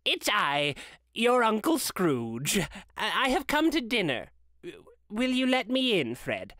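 A narrator acting an old gentleman from a storybook, bursting with delight. He exclaims heartily and trips over his words with eagerness, his pitch leaping up and down in a dry, close recording. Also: wildly swooping pitch, slow pace, no echo, full character voice. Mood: hearty, warm. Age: older. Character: excitable, delighted old miser turned jolly gentleman. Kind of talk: dramatic storybook character reading.

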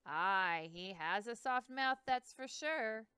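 A woman's voice: fairly high pitch, animated, takes it slowly, pushed and bright, clear, resonant tone, dry recording, clean studio sound, pitched above the performer's own voice, heavily acted. A woman voicing a plainspoken, proud male river dog breeder with a folksy way of talking.

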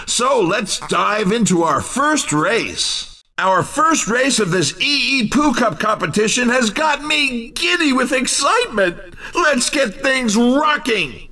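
A man voicing an over-excited, folksy race announcer in a video game, delivering exuberant showman patter. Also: very high-pitched, far from the mic, heavily acted. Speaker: racing commentator.

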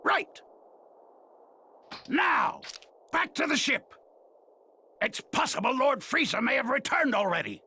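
An actor voicing a flamboyant, boastful alien villain captain in an anime game, with theatrical, gloating delivery. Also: male voice, extremely high pitch, very animated, slow, long pauses, background noise, heavily acted. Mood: triumphant, gloating. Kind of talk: anime game dub acting.

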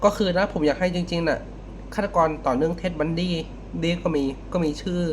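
A man giving casual, unscripted reaction commentary as a video host, halting and repeating words as he thinks. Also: fairly high pitch, slight background noise.

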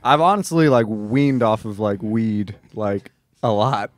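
A man in his late twenties rambles through a casual podcast chat on a handheld mic. His pitch swings widely, and the sound is dry and close. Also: lively intonation, a little slow, no room sound. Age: 20s. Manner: rambling, full of fillers and restarts. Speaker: podcast host. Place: small podcast studio.

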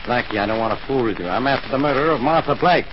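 A man's voice: medium pitch, lively intonation, rapid-fire, natural voice. A man with an American accent playing a blunt, sarcastic homicide inspector in a 1940s radio drama. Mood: impatient. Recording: muffled, noisy 1940s radio recording.

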